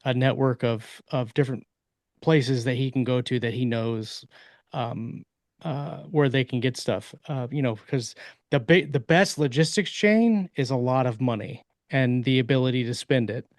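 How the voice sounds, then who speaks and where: A man co-hosting a podcast speaks in a smooth, resonant voice. He pauses often with ums and uhs as he works his way through an explanation. The sound is close and dry, with no room echo. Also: medium pitch. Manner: halting, thinks aloud with many ums and uhs. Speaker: podcast co-host, author. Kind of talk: casual podcast chat.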